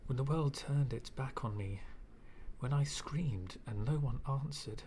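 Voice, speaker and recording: Over steady background noise comes a bitter, coldly philosophical villain, acted by a man. He speaks very slowly and broodingly, often sinking toward a whisper, with menace held in long dramatic pauses. The delivery is an intimate ASMR roleplay monologue. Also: medium pitch, lightly acted.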